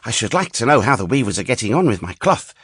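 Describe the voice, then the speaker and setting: A vain storybook emperor, played by a male narrator, speaks with pompous deliberation. His pitch swings widely and the voice has a husky edge, captured very dry. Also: big pitch swings, fast, no room sound. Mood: musing, deliberate. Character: vain adult male emperor obsessed with fine clothes. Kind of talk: storybook audiobook character voice.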